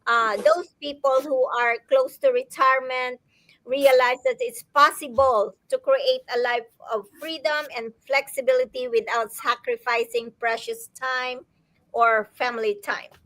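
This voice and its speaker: Panel guest: woman in her later years, from the Philippines, high and bright, friendly, dry close sound. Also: fairly even intonation, a little slow, dry recording, rough recording. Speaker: guest entrepreneur. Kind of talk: live online panel. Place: home in Georgia, USA.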